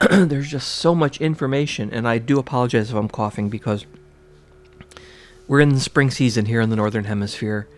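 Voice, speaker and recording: A man giving a spiritual reading, a little stuffy and congested, with a slightly rough throat, rambling through fillers and restarts. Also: mid-range voice. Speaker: tarot reader. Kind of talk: casual spiritual talk to camera.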